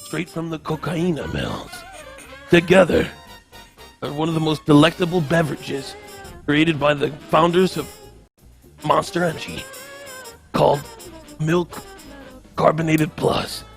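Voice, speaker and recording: A man putting on a low, slow commercial announcer. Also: lively intonation, vocal fry, faint music behind. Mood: solemn, salesy. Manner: slow, dramatic ad delivery. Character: drawling Midwestern commercial announcer, solemn and overblown.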